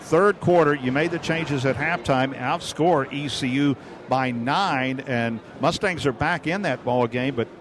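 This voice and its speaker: A man working as a sports radio announcer, hosting a postgame interview in measured, halting phrases. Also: medium pitch.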